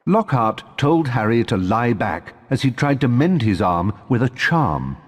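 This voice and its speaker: A man's voice: medium pitch, sing-song, unhurried, in a large hall. A man narrating a scripted story for a video game as its storyteller.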